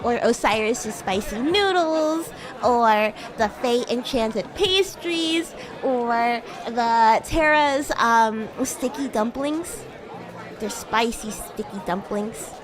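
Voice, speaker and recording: A woman voicing a bratty, playful young demon schoolgirl in chatty livestream roleplay, with a teasing, giggly, halting manner. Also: fairly high pitch, lively intonation. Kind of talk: improvised in-character livestream roleplay chat. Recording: music and crowd murmur behind. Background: music and crowd ambience.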